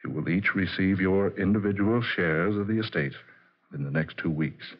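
A man's voice: low voice, normal speed, breathy edge. An actor playing a calculating male attorney, formal and self-assured, in a 1940s radio drama. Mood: formal, solemn. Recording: muffled vintage radio recording.

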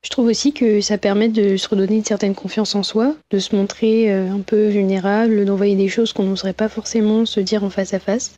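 A woman in her twenties giving a personal testimonial in a calm, poised, measured way. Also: medium pitch, lo-fi sound. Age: 20s.

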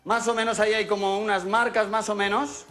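A man in his forties with a Spain Spanish accent, hosting a lively TV interview with playful teasing and quick questions. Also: high voice, music in the background. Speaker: TV show host. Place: large TV studio set. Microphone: lapel.

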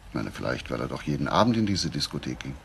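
An actor playing a patient, probing police inspector in a German radio crime drama, measured and polite. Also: male voice, very deep voice, very animated, gravelly, a little hiss or hum, exaggerated voice.

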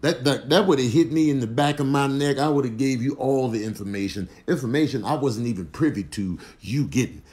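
A man's voice: medium pitch, a little fast, hardly any pauses, a little mellow. An American man giving comedic commentary on clips, exaggerating with slangy, incredulous delivery.

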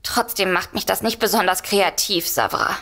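A woman's voice: medium pitch, normal speed, fairly bright tone, natural voice. A woman playing a frightened, worried novelist sister in an audio drama. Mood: anxious. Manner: worried, hesitant. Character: anxious, frightened sister, a novelist under threat. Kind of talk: German audio drama acting.

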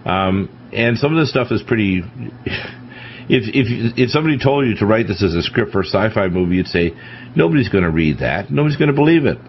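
Low-voiced emphatic radio host, dull lo-fi sound. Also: male voice, expressive, brisk. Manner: emphatic, lecturing, warns about disasters. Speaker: radio talk show host. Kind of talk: talk radio discussion. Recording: dull lo-fi radio broadcast with faint hiss.

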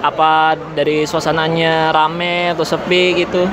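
A man's voice: fairly high pitch, little pitch movement, measured pace. A young man with an Indonesian accent interviewing diners as a student reporter, asking long, searching questions, recorded on a handheld phone in a mall food court. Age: young adult. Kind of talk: street interviews. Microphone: phone held out. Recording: loud crowd chatter and noise.

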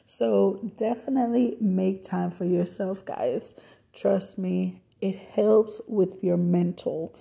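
A young adult woman and mom doing a chatty, soft voiceover for her cleaning vlog, talking to her viewers as y'all and guys. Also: medium pitch, slow pace, vocal fry, breathy edge. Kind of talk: voiceover narration over cleaning footage. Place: home, recorded as voiceover.

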